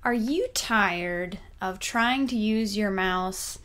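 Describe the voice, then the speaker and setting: A young woman co-hosting a casual home product review, pitching a gadget in a playful infomercial manner. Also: slow pace, fairly bright tone. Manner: playful mock infomercial delivery. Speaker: co-host. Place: home room at a desk.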